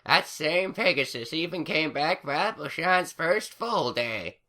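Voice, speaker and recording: Casting: cackling old grandmother mare, high and cracking, slow, voiced by a man. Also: lively intonation, sharp tone. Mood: fond. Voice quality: cracking old-lady voice with laughs. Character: elderly farm mare grandmother, folksy, fond.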